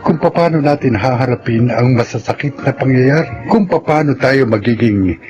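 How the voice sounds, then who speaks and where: A man with a Filipino accent giving dramatic scripted narration and announcements for a radio drama, solemn and deliberate. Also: medium pitch, highs cut off, over music.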